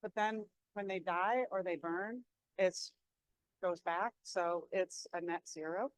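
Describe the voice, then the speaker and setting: A woman's voice: on the low side, dry recording, low-quality recording. A woman presenter answering audience questions in a conversational way, often repeating a word as she restarts. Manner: quick, repeats words when restarting.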